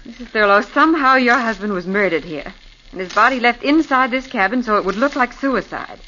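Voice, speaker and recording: A woman's voice: a little high, lively intonation, brisk, pushed and bright. An actress playing a determined young woman assisting a detective in a 1940s radio drama. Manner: eager, determined. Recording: dull old radio recording with faint hiss.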